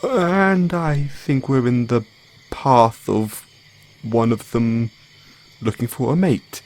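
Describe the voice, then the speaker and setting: A man playing an excitable, anxious and tender mad scientist boyfriend in an intimate audio roleplay, speaking with halting stammers. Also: mid-range voice. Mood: uneasy, curious. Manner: halting, stammering, breathy hesitations.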